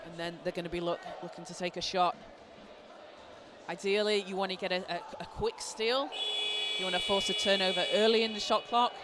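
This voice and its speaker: A man, hoarse from a cold, giving excited live play-by-play basketball commentary in an indoor arena. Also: high voice, fairly quick. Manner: excited, shouting calls on big plays. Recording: clear arena noise behind.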